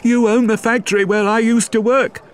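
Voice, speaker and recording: A man voicing a poor, desperate young mother in an audiobook story, in a high, strained falsetto. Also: level tone, moderate pace. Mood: bitter.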